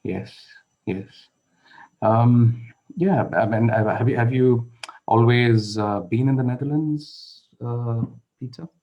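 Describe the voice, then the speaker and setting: A man's voice: medium pitch, slow, lo-fi sound. A man hosting a podcast, asking questions and often nodding along with short replies. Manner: frequent brief backchannels. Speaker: podcast host. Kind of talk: interviewing.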